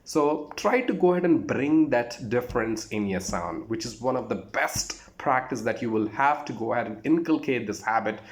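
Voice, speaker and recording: A man's voice: medium pitch, expressive, normal speed, hardly any pauses. A man with an Indian accent giving an informal spoken lesson as a voice trainer, instructive and repetitive, with emphatic phrasing.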